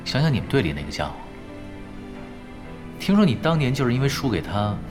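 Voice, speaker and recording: An actor in a Chinese audio drama playing a laid-back veteran pro gamer, teasing and persuasive in an unhurried way. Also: male voice, medium pitch, sing-song, lightly acted. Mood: probing, calm. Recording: background music under the dialogue.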